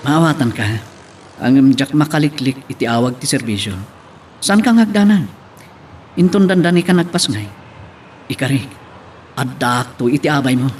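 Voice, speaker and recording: A man's voice: mid-range voice, expressive, normal speed, pauses often, a little mellow, a little hiss or hum, close to an everyday voice. A man playing a caring, earnest young husband in an Ilocano audio drama. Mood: earnest, tender.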